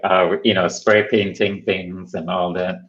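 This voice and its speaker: A man, interviewing filmmakers in a relaxed, loosely phrased way. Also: sits low, a little slow, highs cut off. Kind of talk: casual interview questions.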